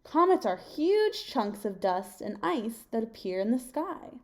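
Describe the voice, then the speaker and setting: Young woman, storytime teacher read-aloud, unhurried and slightly high-pitched, phrasing carefully for children. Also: sing-song, darkish tone. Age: young adult. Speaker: teacher reading a picture book aloud. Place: home kitchen, indoors.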